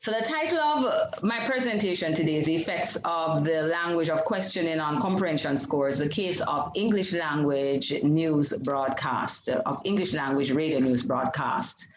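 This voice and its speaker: Jamaican woman, Creole first language, doctoral researcher presenting a seminar; lower voice, careful and explanatory, echoey lo-fi call sound. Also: a little hiss or hum. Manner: measured and explanatory, with frequent fillers and self-corrections. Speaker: PhD candidate presenting research. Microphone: video call.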